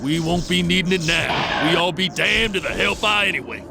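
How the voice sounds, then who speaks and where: An actor playing a solemn, devout and anxious survivor in a video game, speaking in a dramatic, earnest way. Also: male voice, sits high, moderate pace, creaky, over music. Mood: anxious, resigned.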